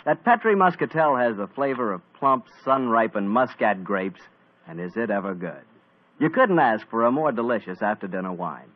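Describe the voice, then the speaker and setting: A man announcing for an old radio drama, with a bright, salesy delivery. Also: fairly low pitch, husky. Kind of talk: scripted announcing. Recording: tinny 1940s radio broadcast.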